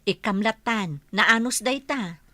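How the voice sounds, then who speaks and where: A woman's voice: mid-range voice, slow, bright, forceful tone, no room sound. A woman playing a teasing, matter-of-fact village shop woman in an Ilocano radio comedy drama, with an Ilocano accent. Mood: teasing, calm.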